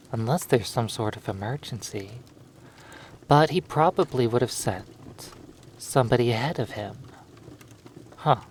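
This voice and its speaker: A man narrating a hypnosis roleplay in a soothing, lulling manner, leaving long pauses between phrases. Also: mid-range voice, slight background noise.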